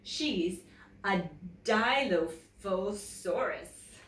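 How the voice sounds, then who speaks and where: A sulky, imaginative little girl from a picture book, acted out by a woman. She is determined and indignant, stressing her words with swinging pitch. The sound is roomy. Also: lively intonation, extremely slow, a bit bright. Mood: proud. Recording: roomy, echoey, distant mic.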